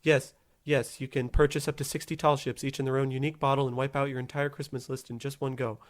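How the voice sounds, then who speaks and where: A man playing a desperate, halting salesman in a parody radio advertisement. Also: medium pitch, level tone, natural voice. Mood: eager, salesy. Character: desperate, stammering sponsor pitchman.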